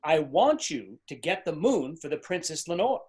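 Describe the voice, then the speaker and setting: A man's voice: a little high, big pitch swings, moderate pace, a little mellow, slightly rough. A man playing a demanding, fretful king in a storybook readers theater, complaining and insistent. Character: petulant, demanding king, fretful and easily enraged.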